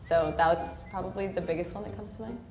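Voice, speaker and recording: A woman's voice: on the low side, a little fast, gravelly. A woman from North Carolina, chatting casually and loosely, laughing as she talks. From North Carolina, United States. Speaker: interviewee. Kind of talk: casual chat. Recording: roomy clip played with background music.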